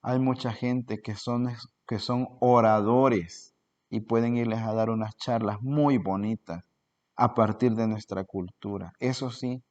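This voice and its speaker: A man with a fairly low voice gives an earnest spoken lecture and keeps asking rhetorical questions. The recording sounds dull and lo-fi. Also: darkish tone. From El Salvador. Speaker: cultural promoter.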